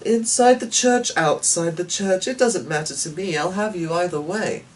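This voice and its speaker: A man's voice: high voice, normal speed, pitched above the performer's own voice, lightly acted. A man voicing a taunting, menacing devil in a theatrical, gloating manner for a story reading. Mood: gloating menace. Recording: distant mic with noticeable background noise.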